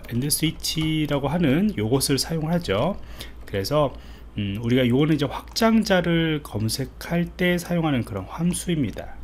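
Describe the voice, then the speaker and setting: A man giving an informal step-by-step coding tutorial as an IT instructor, thinking aloud as he types. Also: a little high. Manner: informal explanatory, thinking aloud while typing. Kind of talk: screen-recorded coding tutorial, explained step by step. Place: indoors, voice-over on a screen recording. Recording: clearly audible background noise.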